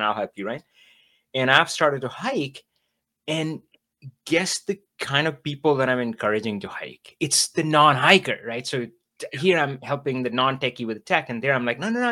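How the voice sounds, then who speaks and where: Lively, enthusiastic male host. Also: mid-range voice. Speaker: podcast host. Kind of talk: casual conversational interview.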